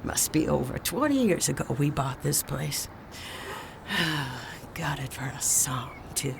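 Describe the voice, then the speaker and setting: An elderly woman, kindly and hospitable, talks slowly with a gravelly creak. She rambles in a folksy audio-drama manner, with clear outdoor noise and a dog around her. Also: deep voice, lively intonation, heavily acted. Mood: folksy, chatty. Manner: rambling, folksy, coughing between phrases.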